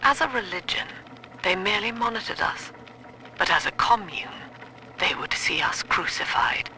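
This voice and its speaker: Here is a cold female psychiatrist turned cult leader in a video game. Her voice is very bright and crisp-edged with a gravelly creak, and she speaks in measured, grandiloquent phrases. The audio log carries faint noise. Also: medium pitch, lively intonation, rough recording. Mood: grave, defiant. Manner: measured, clinical, grandiloquent, commanding. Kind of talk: dramatic audio-diary monologue.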